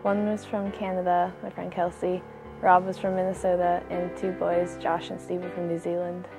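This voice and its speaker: An American teenage girl answers interview questions casually. Her pitch is lowish and stays very even, with faint music in the background. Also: moderate pace. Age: teenager, about 15.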